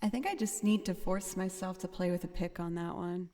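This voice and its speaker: A woman hosting a live music stream, chatting casually with viewers in a soft, raspy voice. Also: fairly low pitch, fairly quick, no room sound. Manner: quiet, gentle, laid-back talk. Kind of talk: casual livestream chat with viewers.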